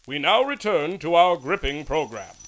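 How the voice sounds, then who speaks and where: A man doing a booming old-time radio announcer. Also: very animated, normal speed, loud music behind the voice. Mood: dramatic. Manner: booming, theatrical. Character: dramatic male radio-serial announcer.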